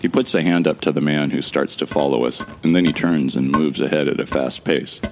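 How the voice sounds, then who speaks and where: An extremely deep-voiced amnesiac man narrates an audio drama in the first person. His voice crackles with gravelly fry. He is observant and friendly, with a wry, conversational delivery. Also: fairly even intonation, brisk, faint music behind. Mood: observant, urgent.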